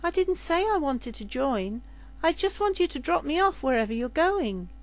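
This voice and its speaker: A woman reader puts on a young servant girl for a storybook adventure. The voice sits high, with a pleading edge, and she is defiant and quick with her answers. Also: very animated.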